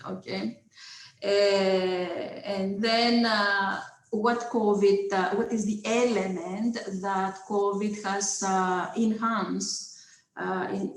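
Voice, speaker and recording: A woman's voice: medium pitch, echoey room, poor audio. A woman with a Greek accent giving an online lecture over a video call, hesitant, with many filler sounds, checking in with her listeners. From Greece.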